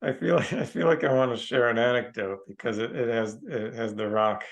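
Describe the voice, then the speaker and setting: A man's voice: medium pitch, moderate pace. A man on a podcast telling a casual anecdote in a hesitant, rambling, tentative way. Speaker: podcast participant.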